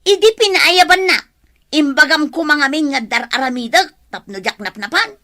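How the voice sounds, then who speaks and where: A woman playing a sharp-tongued, defensive elderly wife bickering in an Ilocano radio drama. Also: sits high, lively intonation, moderate pace. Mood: defensive, scolding.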